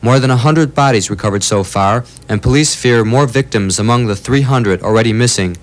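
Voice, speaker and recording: Solemn male news correspondent. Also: mid-range voice. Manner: measured, solemn reporting. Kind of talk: scripted news report.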